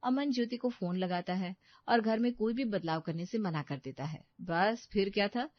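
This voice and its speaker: A woman's voice: medium pitch, moderate pace, no room sound. A woman narrating a scripted story as its storyteller. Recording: slightly dull, dry studio recording.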